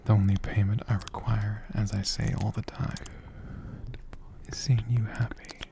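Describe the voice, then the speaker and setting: A performer voicing a gentle, affectionate dragon healer in a soft, breathy ASMR whisper, slow and soothing with tender, lingering pauses. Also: medium pitch, little pitch movement. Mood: gentle, reassuring. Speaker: ASMR creator.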